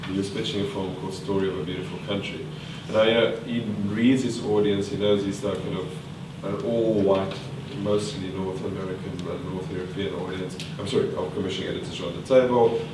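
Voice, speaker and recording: A man with a very low voice runs a workshop, quizzing the group and thinking aloud with fillers and restarts. He is picked up from a distance in a large, echoing meeting room. A steady background hiss sits under his voice. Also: a little fast, few pauses. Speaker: workshop trainer. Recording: echoey room from far off, noisy.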